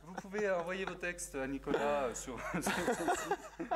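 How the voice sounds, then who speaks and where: Un homme d'une trentaine d'années qui mène une interview télévisée en hésitant, beaucoup de « euh », sur un ton joueur, micro-cravate dans une salle de théâtre vide. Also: male voice, sits high, big pitch swings, unhurried, crowd noise. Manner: hesitant, many fillers, playful. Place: empty theatre auditorium. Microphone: lapel.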